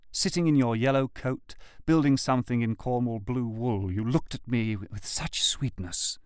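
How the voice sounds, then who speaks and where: A man's voice: mid-range voice, no room sound, clean studio sound, close to an everyday voice. A man reading love letters aloud as a lovesick, anguished writer longing for an absent lover, with heartfelt, literary phrasing.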